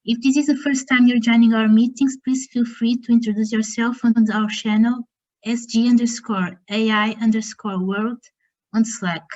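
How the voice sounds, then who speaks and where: A woman hosting an online meeting, giving a smooth, organized welcome and introducing the speakers. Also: fairly high pitch, fairly even intonation, moderate pace, a little mellow, lo-fi sound. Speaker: session host. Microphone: video call.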